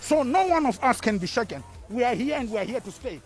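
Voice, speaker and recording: A man's voice: very high voice, big pitch swings, quick pace. Emphatic man. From South Africa. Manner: emphatic, declaring. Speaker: supporter at a rally.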